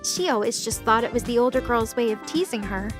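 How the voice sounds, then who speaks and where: A woman's voice: fairly high pitch, brisk. A woman reading scripted third-person narration for an audio drama. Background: music under the voice.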